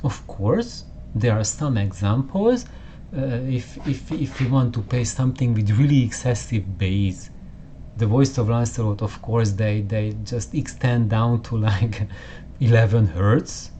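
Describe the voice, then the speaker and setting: Man, slow, mellow tone, halting talk with restarts, home listening room. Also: on the low side, slight background noise. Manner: halting, with many repeated words and restarts. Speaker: audio channel host. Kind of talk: casual explanatory talk to camera.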